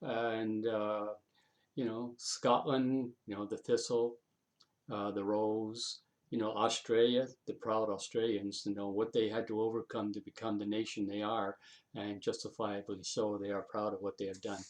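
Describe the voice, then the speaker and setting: A man telling a story informally, in a halting, folksy way with repeats. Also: lowish voice, flat delivery. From Canada.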